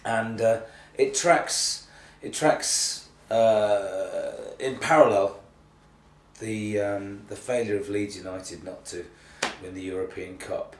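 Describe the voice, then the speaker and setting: Man, low-pitched, slightly croaky with a cold, tired, hesitant casual vlog chat, self-deprecating, echoey recording. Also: takes it slowly. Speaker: theatre artistic director giving a monthly video update. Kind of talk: casual, unscripted video blog chat. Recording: echoey, distant mic, faint noise.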